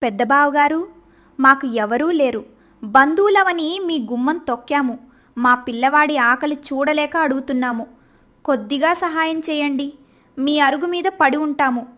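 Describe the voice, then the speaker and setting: An actress playing a poor farmer's devoted wife who speaks softly and earnestly. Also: female voice, high voice, normal speed. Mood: pleading.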